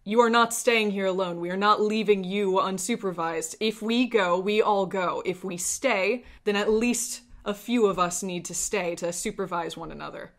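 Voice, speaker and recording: A woman's voice: medium pitch, moderate pace, hardly any pauses, close to an everyday voice. A woman playing a stern, irritated male regent in a tabletop game, speaking firmly in clipped sentences. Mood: stern irritation.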